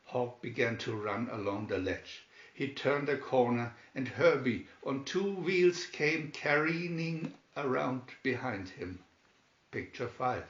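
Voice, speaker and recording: A man narrates a storybook at a slow, deliberate pace with a dramatic touch. His voice sits at an average pitch. The recording is dull and echoes in the room. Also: expressive, a bit bright. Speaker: storybook reader.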